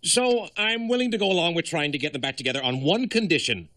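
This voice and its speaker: Fussy cartoon uncle, cautious and earnest, bright voice with swooping pitch. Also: male voice, expressive, fast. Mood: reluctant. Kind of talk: animated series acting.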